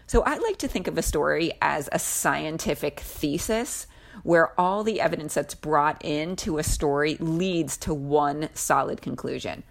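Lower-pitched woman co-hosting a podcast. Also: measured pace, vocal fry. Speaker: podcast co-host. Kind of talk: scripted podcast narration.

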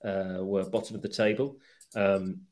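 Man, podcast host, lowish voice, measured, steady delivery. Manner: measured, steers the talk and sums up results. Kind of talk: casual football podcast chat.

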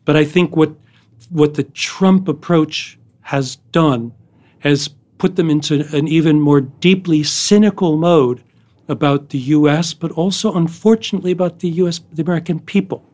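A middle-aged man giving emphatic, measured analysis as a guest on a podcast, often repeating phrases. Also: a little high, darkish tone. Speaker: foreign policy guest. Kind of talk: interview analysis.

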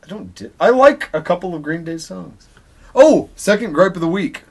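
A man's voice: sits high, animated, normal speed, darkish tone. A man co-hosting a podcast in casual, digressive chat, swearing offhandedly as he rambles. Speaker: podcast co-host.

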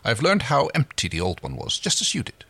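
A man reading aloud and voicing a scheming male ex-commander with earnest, theatrical persuasion, his voice pushed higher than his own. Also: huge pitch swings, fast, creaky, pushed and bright, husky, no echo, exaggerated voice. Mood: persuasive.

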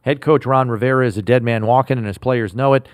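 A man hosting a sports podcast, running a trivia quiz and making picks in an upbeat, animated way. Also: medium pitch, quick pace, no room sound, clean studio sound. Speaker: host.

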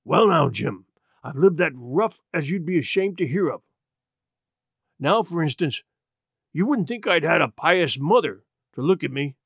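Storybook castaway pirate, played by a male narrator, hoarse and rusty, half-crazed and sly. He drawls out rustic sailor talk with a childlike eagerness, swinging widely in pitch, recorded dry and close. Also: lively intonation, fairly bright tone, no room sound, exaggerated voice. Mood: sly, pleased. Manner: rustic sailor speech, slow and drawn-out.

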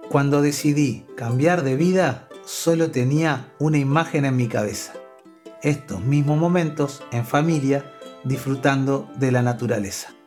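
A man's voice: medium pitch, a little fast, darkish tone, faint music behind. A man, talking earnestly and with feeling about his own life. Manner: earnest and heartfelt. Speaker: interviewee. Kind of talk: personal testimony.

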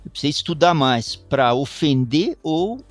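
Reflective Paulistano man, unhurried musing. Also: a little high, very animated, no echo, faint music behind. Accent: Paulistano Brazilian Portuguese. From São Paulo, Brazil. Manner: thoughtful, meandering, many restarts and tag questions. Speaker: guest musician. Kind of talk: reflective interview talk.

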